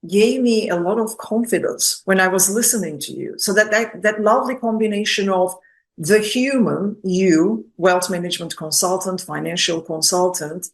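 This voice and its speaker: A woman hosting a business spotlight interview, speaking in an effusive, complimentary way with deliberate phrasing. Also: medium pitch. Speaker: interview host.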